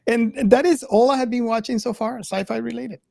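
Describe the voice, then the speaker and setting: A man's voice: very high-pitched, steady tone, a little fast, gravelly, dark tone, no room sound, studio quality. A man hosting a casual podcast discussion, speaking hesitantly and often repeating his words. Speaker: podcast host.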